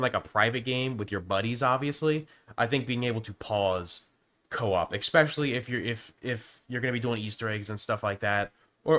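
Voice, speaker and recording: A man with a sore throat, sounding hoarse as he gives rambling, casual opinions on a video game, often restarting his sentences. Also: medium pitch, sharp tone. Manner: rambling with frequent restarts and stammers. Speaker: gaming youtuber. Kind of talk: casual opinion commentary to camera.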